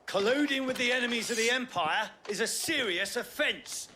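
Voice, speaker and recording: A man's voice: very high voice, animated, moderate pace, noisy background. A man playing a sneering, aggressive villainous soldier in a fantasy video game, agitated and scornful. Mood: hostile, scornful.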